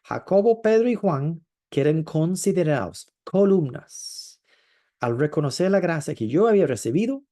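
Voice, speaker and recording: Male preacher, warm dark tone, reflective delivery with pauses and drawn-out emphasis. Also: a little high, animated. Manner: thoughtful, with frequent hesitations and drawn-out stresses. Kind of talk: sermon.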